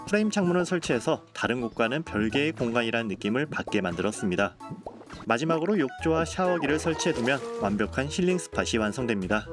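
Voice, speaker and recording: A man narrating a game building video in a calm, polite, explanatory voice-over. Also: mid-range voice, fast. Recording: voice-over with clear background music.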